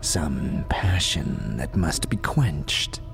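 Ancient, very slow, wheezing old scribe. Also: male voice, rumbling low, animated, in a whisper, music in the background, voice pushed down, exaggerated voice. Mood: grave, philosophical. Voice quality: breathy, ragged wheeze. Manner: slow, solemn, halting delivery. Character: ancient, weary, cryptic old male undead-realm scribe. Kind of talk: audio drama acting.

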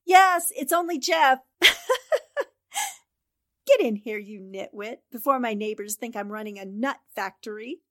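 Woman playing a high-voiced 1940s radio newspaperwoman. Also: expressive, a little slow, pauses often, a bit bright, no echo, clean studio sound. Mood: amused exasperation. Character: determined, exasperated woman newspaper reporter.

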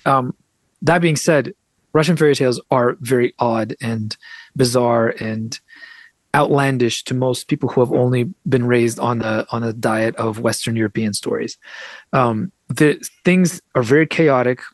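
A man's voice: mid-range voice, a little slow, no room sound. Podcast guest: American man, mid-range voice, explanatory and articulate, wandering into asides, very little room sound. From United States. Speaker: podcast guest, author and translator. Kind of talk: conversational interview, explanatory.

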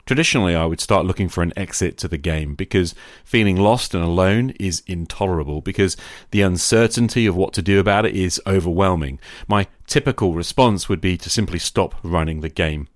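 A middle-aged man hosting a podcast, delivering a reflective scripted monologue in a measured, confessional manner. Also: deep voice, dry recording, studio quality. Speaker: podcast host.

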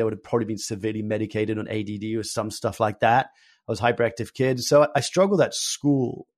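A man in his forties from France, a podcast guest telling his own story in a candid, self-deprecating way. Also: medium pitch. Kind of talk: casual interview chat.

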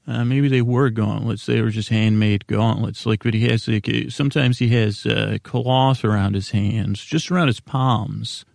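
A man hosting a sleep podcast in a casual, meandering monologue, halting and mumbling in a self-deprecating way. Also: on the low side, fairly even intonation, vocal fry, darkish tone, no echo, clean studio sound. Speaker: podcast host.

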